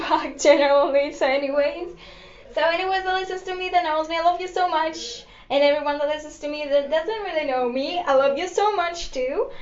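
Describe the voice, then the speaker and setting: Chatty Greek-accented young woman, bubbly. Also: high voice. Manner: rambling, upbeat, giggly, with many fillers. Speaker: podcast host. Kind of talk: casual solo podcast chat. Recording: echoey room, slightly dull lo-fi sound.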